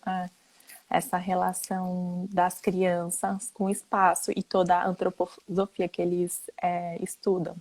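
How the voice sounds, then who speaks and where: A woman's voice: fairly low pitch, completely flat delivery, measured pace, a little mellow. A woman from Brazil, an architect, speaking earnestly and explaining her work as a guest in a casual online chat. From Brazil, grew up there.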